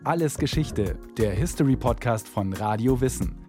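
A man narrating a scripted podcast promo in a presenter's measured style. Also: medium pitch, expressive. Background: faint music.

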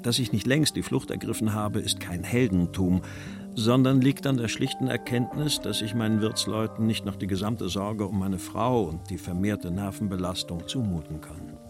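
An actor voicing a weary, wry post-war writer reading his letters and poems in a measured, carefully articulated way. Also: on the low side, over music, lightly acted. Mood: sombre, weary. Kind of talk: literary reading of letters and verse for a radio feature.

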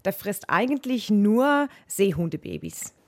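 A woman's voice: medium pitch, wildly swooping pitch, unhurried, music in the background. A woman from the Netherlands with a Dutch accent, explaining things as a zoology expert. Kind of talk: explanatory interview answers.

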